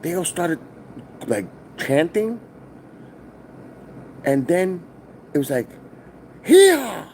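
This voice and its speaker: A middle-aged man from New with a loud voice, telling a rambling, profane story on a casual stream from his hotel. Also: high-pitched, huge pitch swings, takes it slowly, long pauses, a little hiss or hum. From New York. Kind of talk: casual live-stream vlog. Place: hotel, indoors.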